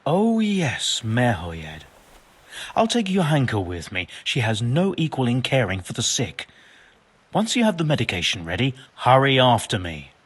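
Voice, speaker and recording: An actor playing a learned, pompous but well-meaning and absent-minded monk physician in a video game, speaking in wordy, formal phrases. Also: male voice, medium pitch, huge pitch swings, a little slow, slightly rough, full character voice. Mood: bemused, earnest. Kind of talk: video game cutscene acting.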